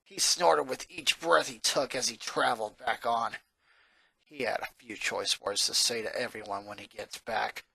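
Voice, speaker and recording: A man reading a story aloud as narrator, in a dramatic, expressive storytelling style. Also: mid-range voice, normal speed, creaky, husky. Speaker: narrator, fan fiction reader.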